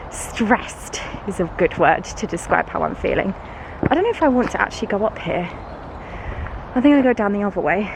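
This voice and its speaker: A British woman with a congested, bunged-up nose, walking through the woods as she chats to camera in a self-mocking way and laughs often. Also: medium pitch. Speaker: vlogger and schoolteacher. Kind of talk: casual vlog chat while walking. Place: woods outdoors. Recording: noisy outdoor camera recording. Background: outdoor ambience, passing vehicles.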